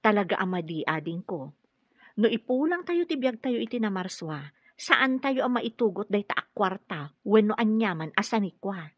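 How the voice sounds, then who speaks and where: Earnest caregiver, radio drama, hesitant, mid-pitched husky voice, muffled dry sound. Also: female voice, sing-song, normal speed, no room sound. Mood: concerned. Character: modest, earnest woman caregiver courted by her boss.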